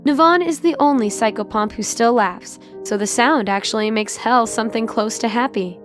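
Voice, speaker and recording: A woman's voice: a little high, moderate pace, faint music behind. A woman reading scripted, dramatic story narration for an audio drama.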